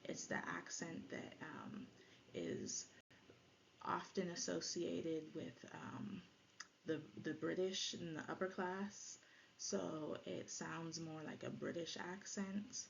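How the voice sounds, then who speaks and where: Woman giving an academic talk, native patois speaker from jamaican family, gravelly fry, kinda muffled lo-fi sound. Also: sits low, steady tone, slow pace. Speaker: presenter, lecturer. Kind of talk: explanatory academic presentation. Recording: dull lo-fi audio, faint noise.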